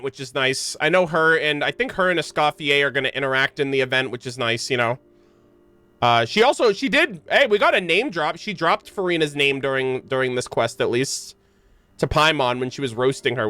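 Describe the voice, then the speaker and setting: A man's voice: fairly high pitch, animated, normal speed, bright, forceful tone, dry recording. A man giving casual, slangy live game-stream commentary and chatting to his viewers. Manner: slangy, informal. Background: game music.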